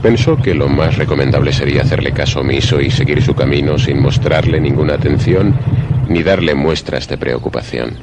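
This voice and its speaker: A man narrating a scripted suspense radio drama in a measured, literary, theatrical manner. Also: extremely low pitch, very noisy.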